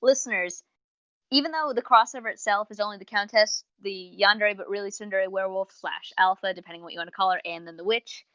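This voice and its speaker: A woman's voice: mid-range voice, brisk, bright, forceful tone, no room sound. A young adult woman chatting casually as a podcast co-host, rambling and interrupting herself as she goes. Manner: chatty, tangent-prone, self-interrupting. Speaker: podcast co-host reviewing audio dramas. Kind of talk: casual rambling review chat. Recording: dull, lo-fi close dry mic.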